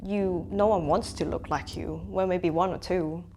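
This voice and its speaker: A woman in her twenties from Hong Kong, talking in a sit-down interview as a makeup artist, pausing often with "um" and laughing between thoughts. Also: fairly low pitch, fairly even intonation, darkish tone. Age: 20s. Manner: reflective, frequent "um" fillers, laughs between thoughts. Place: bright room indoors, seated in armchair. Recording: music playing clearly behind.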